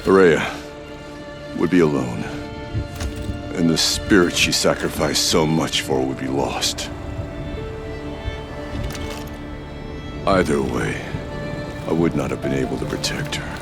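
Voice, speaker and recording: Solemn chieftain, rock-bottom pitch, gravelly, measured, formal, video game drama, loud score behind. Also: male voice, music in the background, exaggerated voice. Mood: grave, regretful. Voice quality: very deep, gravelly.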